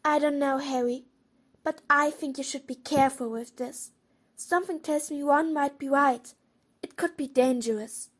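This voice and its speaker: A woman plays a clever schoolgirl witch in an audio drama, high in pitch. She is earnest and careful, reasoning things out with an inquisitive manner. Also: fairly even intonation, normal speed, close to an everyday voice. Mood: concerned.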